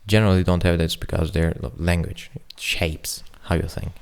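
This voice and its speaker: A man's voice: deep voice, fairly even intonation, normal speed, creaky, clean tone. A man on a casual podcast, explaining ideas loosely with fillers like "like" and "um". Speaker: podcast co-host.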